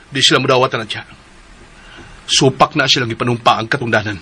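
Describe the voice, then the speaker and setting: A man's voice: mid-range voice, fairly quick, bright, forceful tone, husky. An actor playing a determined husband searching for his missing wife in a radio drama. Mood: earnest. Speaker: nephew.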